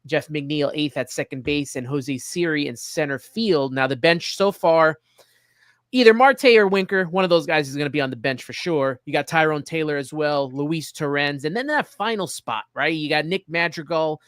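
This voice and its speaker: Higher-voiced male sports host, dry close sound. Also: moderate pace, fairly bright tone, no echo. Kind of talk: casual presenting, reading through a list.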